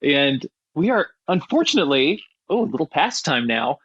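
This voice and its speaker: A dry, slightly dull recording of a male podcast host with music behind him. He speaks casually and enthusiastically in a somewhat higher-pitched voice. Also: sing-song, moderate pace, fairly bright tone, slightly rough, dry recording. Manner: enthusiastic, informal. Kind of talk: casual conversational hosting.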